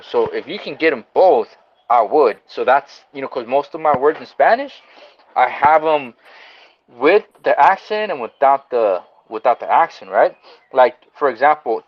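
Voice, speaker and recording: A friendly man chatting casually, using many fillers and calling the others "brother". His audio is dull and lo-fi, with a faint hiss behind it. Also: medium pitch. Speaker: guest speaker. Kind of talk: casual chat.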